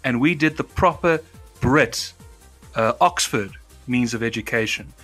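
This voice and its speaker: A man's voice: mid-range voice, unhurried, pushed and bright, soft background music. A South African-born man with a British-trained accent, hosting a podcast chat with formal, careful diction and long winding sentences. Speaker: podcast host.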